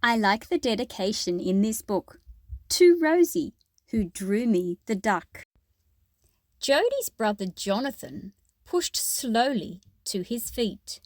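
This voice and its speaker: A woman reads a storybook aloud at an unhurried pace, her fairly high voice rising and falling with lots of animation. She phrases it expressively for young listeners and explains as she goes. The sound is close and dry. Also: big pitch swings, no room sound. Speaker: children's picture book read-aloud host.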